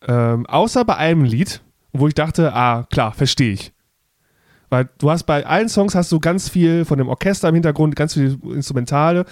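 A man's voice: medium pitch, darkish tone, no echo, studio quality. A man in his thirties hosting a podcast in casual chat, talking freely with many fillers and restarts. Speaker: podcast host.

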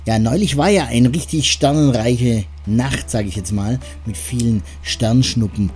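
A man's voice: mid-range voice, unhurried, a little mellow, breathy edge. A man hosting a radio show in a chatty, rambling way, with self-deprecating asides between music and sports news. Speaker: radio host. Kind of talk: casual radio moderation.

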